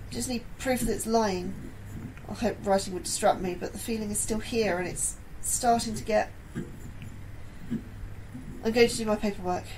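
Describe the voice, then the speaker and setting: A woman's voice: fairly high pitch, darkish tone, a little hiss or hum, lightly acted, poor audio. Woman playing an anxious, earnest scientist. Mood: anxious, pleading. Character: anxious female astrobiologist, earnest and frightened. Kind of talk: sci-fi horror audio drama acting.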